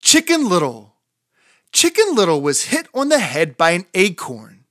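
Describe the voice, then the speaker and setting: A woman reading a children's storybook aloud in an expressive narrating style. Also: on the low side, measured pace, dry recording, clean studio sound.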